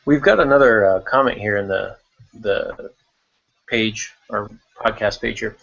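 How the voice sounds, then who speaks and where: A man's voice: on the low side, a little slow, creaky, fairly bright tone, dull audio. A man hosting a writing podcast in casual unscripted talk, thinking aloud and repeating words as he goes. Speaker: podcast host discussing writing craft.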